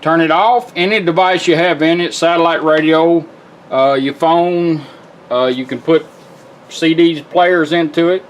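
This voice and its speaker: Male American product demonstrator, slightly high voice, folksy and plainspoken, guitar music playing behind. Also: fairly even intonation, measured pace. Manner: plainspoken, folksy step-by-step instruction. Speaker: product demonstrator for a radio restoration shop. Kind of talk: hands-on product demonstration, casual. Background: guitar music from a radio.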